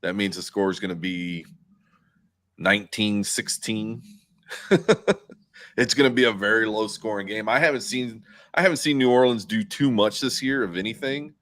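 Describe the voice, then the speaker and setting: A man on a sports show panel chatting casually, repeating words in a halting way as he makes his points. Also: fairly low pitch, a bit bright.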